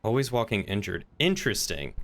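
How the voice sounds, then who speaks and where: A man's voice: lowish voice, big pitch swings, brisk, a bit bright, a little hiss or hum. A man chatting casually as he streams a game, often muttering half to himself.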